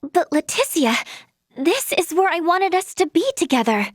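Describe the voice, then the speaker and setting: High, girlish, bubbly young healer. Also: expressive, normal speed, dry recording, studio quality. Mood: wistful, gentle. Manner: bubbly and girlish, stammering when flustered. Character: cheerful, earnest, easily flustered young female healer. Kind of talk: animated video game voice acting.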